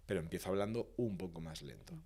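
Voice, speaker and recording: Man, fairly low voice, from Valencia, Spain, speaking expert, emphatic, teacherly, repeats lines for rhythm. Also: fast talker, dark tone. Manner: didactic, emphatic, lists points and repeats phrases for rhythm. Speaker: communication and public speaking expert. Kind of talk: podcast interview, explanatory.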